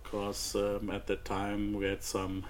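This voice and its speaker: A man's voice: lowish voice, monotone, takes it slowly, a little hiss or hum. A man guesting on a podcast as a conference organizer, easygoing and a little hesitant. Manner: easygoing, laughs often, hesitant. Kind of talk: casual interview.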